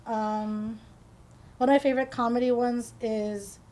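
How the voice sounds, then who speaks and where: A woman's voice: fairly high pitch, fairly even intonation, measured pace, a little mellow. A woman from the Philippines chatting informally as she answers questions as a guest on a casual podcast.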